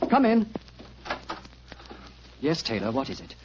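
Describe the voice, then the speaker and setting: A man playing an irritable, haughty club gentleman in a 1940s radio drama, indignant and snappish. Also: mid-range voice, wildly swooping pitch, slow. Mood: curt. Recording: muffled, noisy 1940s radio recording.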